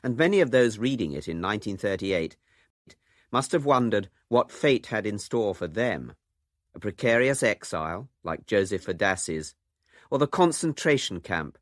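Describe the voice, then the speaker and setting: A man's voice: on the low side, dry recording, studio quality. A man presenting a documentary in scripted narration.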